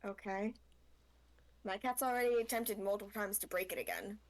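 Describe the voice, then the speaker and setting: A woman's voice: mid-range voice, brisk. A woman chatting casually and offhand as a co-player on a game stream. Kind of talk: casual chat.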